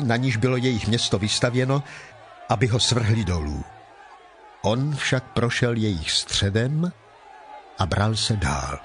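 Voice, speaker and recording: A man narrating scripture from a script in a measured, formal manner. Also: mid-range voice, slight background noise.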